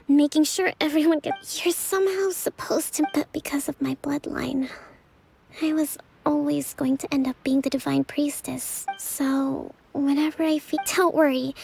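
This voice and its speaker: A woman playing a gentle, earnest young priestess leader in an anime fantasy game, soft-spoken and polite, weary but dutiful. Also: high-pitched, steady tone, normal speed, gravelly, a little hiss or hum, low-quality recording. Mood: weary, confiding. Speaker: game character. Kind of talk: voiced story dialogue in an anime fantasy game.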